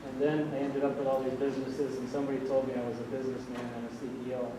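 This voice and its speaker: Man in his sixties, soft-spoken, rambling with fillers; distant mic in a very echoey hall. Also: medium pitch, level tone, rapid-fire, noisy background. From Vermont. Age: 60s. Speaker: audience member at a panel. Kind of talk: public comment at a panel discussion. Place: large hall. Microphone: distant room mic.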